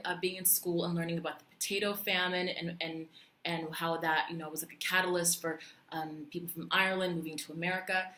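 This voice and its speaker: A woman from Puerto Rico vlogging in a chatty, animated way, with lots of fillers and restarts. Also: on the low side. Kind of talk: casual talk to camera. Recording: echoey room.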